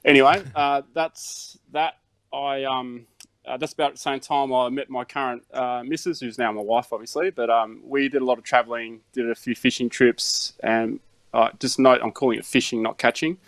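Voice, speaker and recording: A middle-aged man from Denmark, a guest on an interview show, telling stories in a relaxed way with plenty of fillers and chuckles. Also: medium pitch, moderate pace, dry recording. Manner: laid-back storytelling with fillers and chuckles. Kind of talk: casual chat.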